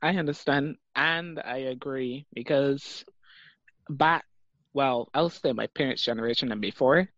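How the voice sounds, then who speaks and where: A man's voice: medium pitch, a little slow, a bit bright, no room sound. A man with a Barbadian accent, speaking earnestly in a class discussion over a video call. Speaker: student in a linguistics discussion. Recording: dry, dull lo-fi call audio.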